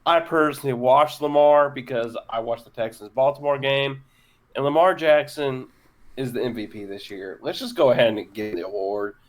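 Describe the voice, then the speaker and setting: Man, podcast host, mid-range pitch, casual chat, halting with lots of uhs. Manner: hesitant, stumbling with many fillers.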